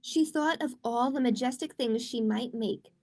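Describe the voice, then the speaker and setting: A woman's voice: on the high side, moderate pace, darkish tone. A woman hosting a livestreamed children's storytime as a librarian, reading aloud expressively and chatting to young viewers with questions.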